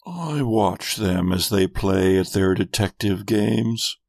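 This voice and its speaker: A man's voice: fairly low pitch, expressive, takes it slowly, vocal fry, a little mellow, dry recording, clean studio sound, full character voice. A man voicing a scheming, contemptuous immortal villain in a grandiose, brooding monologue for a fiction podcast. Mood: disdainful, brooding.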